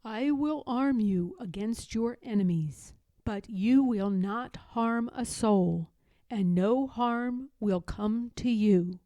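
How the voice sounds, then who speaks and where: A divine storybook spirit, voiced by a woman, speaks very slowly and solemnly. The delivery is calm, protective and reassuring, with a soft, mellow tone. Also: a little high, no echo. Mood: firm promise.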